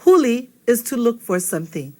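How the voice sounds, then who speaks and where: A woman's voice: fairly high pitch, very animated, moderate pace. A woman teaching vocabulary in a casual video lesson. She keeps checking in with the learner, asking "okay?" after nearly every point. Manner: chatty, checks in with "okay?" constantly. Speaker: Hawaiian language teacher.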